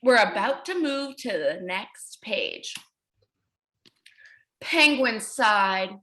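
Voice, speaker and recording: A woman reading aloud to young children as their teacher, encouraging and exaggerated, pausing to ask questions. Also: on the high side, big pitch swings, sharp tone.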